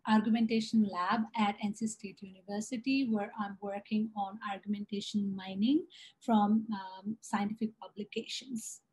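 A woman's voice: mid-range voice, darkish tone, no room sound. A young woman from Nepal whose first language is Nepali, giving an earnest prepared talk over slides with many ums and uhs, recorded on a laptop webcam at home. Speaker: award nominee. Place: indoors at home. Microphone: laptop webcam on a video call. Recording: dull lo-fi laptop audio.

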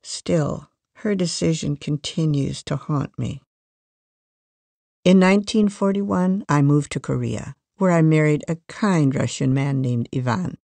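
A gravelly voice reads a first-person life story at a measured, even pace. The sound is close and dry, with no room around it. The delivery stays scripted and steady throughout. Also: mid-range voice, no room sound. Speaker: reader of a magazine life story.

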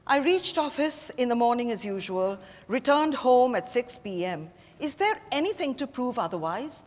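A woman's voice: fairly high pitch, very animated, normal speed. An Indian-accented woman acting an authoritative, guarded bereaved mother in a staged play reading. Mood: defensive, irritated. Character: composed, authoritative bereaved mother, defensive under questioning. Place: stage in a hotel ballroom. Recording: reverberant hall, distant stage pickup.

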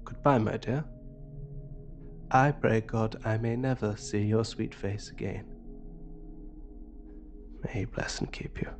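A man's voice: fairly low pitch, fairly even intonation, slow pace, long pauses, music in the background. Ornate, unsettlingly courteous madman. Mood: solemn, tender. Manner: ornate, pedantic, precisely phrased. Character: courteous, scholarly male asylum patient with unsettling obsessions. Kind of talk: audio drama acting.

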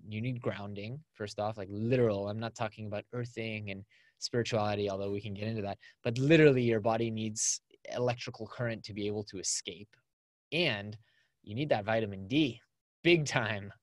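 A man's voice: on the low side, a little fast, no room sound. A man, talking as a podcast guest in an upbeat, chatty way over a video call. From California. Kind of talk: casual conversational interview. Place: small home studio with acoustic foam.

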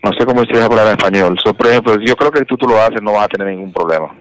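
A man's voice: lowish voice, racing, a little hiss or hum, rough recording. A man explaining things patiently in a casual phone conversation, mixing in English words. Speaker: staffing office employee taking a call.

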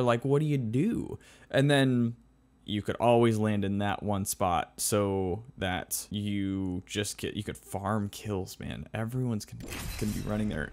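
A man's voice: fairly low pitch, slight background noise. A man giving casual, conversational and opinionated commentary as a video game streamer.

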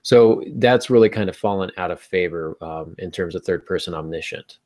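Deep-voiced man, dry close sound. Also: moderate pace, a little mellow, no room sound. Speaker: podcast host. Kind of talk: conversational explaining on a writing podcast. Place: room at home, indoors. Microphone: video call with earphones.

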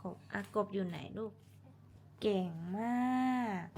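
A woman's voice: medium pitch, slow, vocal fry, a little mellow, a little hiss or hum. A woman talking to her young children as their mother, teaching simply with repeated words and encouraging praise. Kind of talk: simple teaching talk to toddlers.

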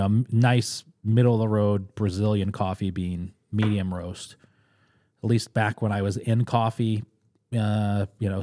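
A man's voice: on the low side, warm tone. A man in his thirties hosting a podcast in casual conversation, speaking into a close studio microphone. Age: 30s. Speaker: podcast host. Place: small podcast studio indoors. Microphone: close studio mic on boom arm, headphones.

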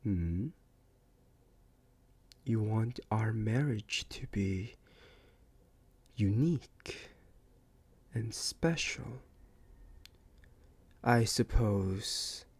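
A man plays a smug alpha werewolf boyfriend in a romance roleplay. He speaks very slowly in a gravelly, intimate voice that drops toward whispers, devoted and possessive. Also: on the low side, darkish tone, no echo, exaggerated voice. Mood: proud, smug. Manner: slow, intimate, partly whispered, suave and condescending. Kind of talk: ASMR romance roleplay acting.

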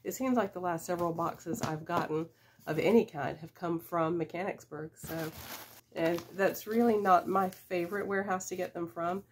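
Woman in her fifties, lowish voice, a bit stuffy from a cold; easygoing reseller chat at desk distance, faint hiss behind. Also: fairly even intonation. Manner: chatty, unhurried, with small laughs and fillers. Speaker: reseller vlogger. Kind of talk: casual unboxing chat. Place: small room with shelves of boxes, indoors. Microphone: camera at desk distance.

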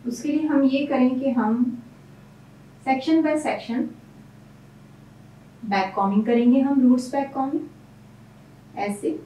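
A woman's voice: sits high, slow, long pauses, warm tone, reverberant, distant mic, poor audio. A woman hairstylist explaining each step of a hairstyle as she works in a salon. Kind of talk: hairstyling tutorial. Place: salon room.

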